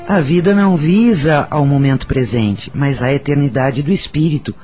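An actor playing a solemn, wise spiritual counsellor in a radio soap, preaching and storytelling in a measured way with a Brazilian accent. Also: male voice, fairly high pitch, darkish tone, slightly rough, soft background music. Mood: solemn, consoling.

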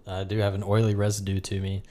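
Deep-voiced sarcastic man hosting a podcast. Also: monotone, fast talker, warm tone. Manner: sarcastic, joking. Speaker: podcast host. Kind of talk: casual podcast chat. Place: room with another person.

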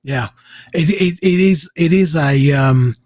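A man hosts an interview, stumbling through restarts as he builds up his questions. His voice sits high and a little husky. The sound is dry and close. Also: darkish tone, no echo. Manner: halting, many restarts, enthusiastic. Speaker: radio interviewer, host. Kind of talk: casual interview.

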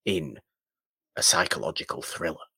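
A man co-hosting a film podcast, chatting casually in a halting, rambling way with emphatic repetition. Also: pushed and bright, no room sound.

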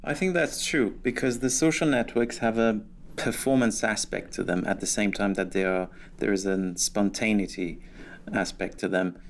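Low-voiced man, thoughtful podcast guest. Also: normal speed. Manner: thoughtful, hesitant restarts. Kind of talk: conversational interview answers.

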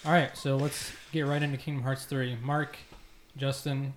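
A man's voice: mid-range voice, little pitch movement, moderate pace. A man hosting a casual group podcast with playful, mock-grandiose banter. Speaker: podcast host. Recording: echoey room, faint noise.

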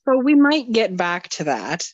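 A woman's voice: mid-range voice, very animated, measured pace, no room sound. Woman podcast cohost, dry muffled sound, tired self-deprecating jokes. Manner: self-deprecating, drowsy joking. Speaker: podcast co-host. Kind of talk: casual chat.

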